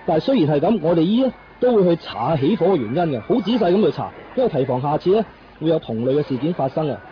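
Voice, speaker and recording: A brisk Hong Kong fire captain who waves everything away. He talks very fast in Cantonese, a little high, in radio drama manner. The sound is muffled and clearly noisy. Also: very animated. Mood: dismissive. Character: male fire brigade captain, brisk, dismissive, downplaying. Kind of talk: 1990s radio drama acting. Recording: muffled radio recording with clear background noise.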